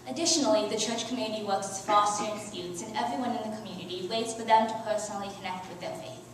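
An earnest teenage girl reads her youth sermon from a church lectern, stumbling now and then on a word. Her voice sits at an ordinary pitch and washes through a very echoey sanctuary, picked up from a distance with a faint hum of room noise. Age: teens. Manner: earnest, with soft laughs and small restarts. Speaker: youth speaker. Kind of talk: reading a prepared sermon. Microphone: headset mic, filmed by far camera.

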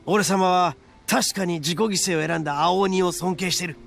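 A male game voice actor playing a brash, boastful young red oni with a cocky, rough, theatrical way of talking and a gravelly voice. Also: high-pitched, steady tone, normal speed, fairly bright tone, soft background music. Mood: proud, earnest. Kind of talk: Japanese anime game voice acting.

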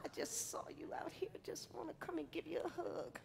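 A woman's voice: very high voice, steady tone, fairly quick, gravelly, heavy background noise. A middle-aged woman chef giving a live cooking demo, playful and teasing with the crowd, on a headset mic in a large hall. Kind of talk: live cooking demonstration to an audience. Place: large indoor hall with a stage set. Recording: long reverb of a big hall.

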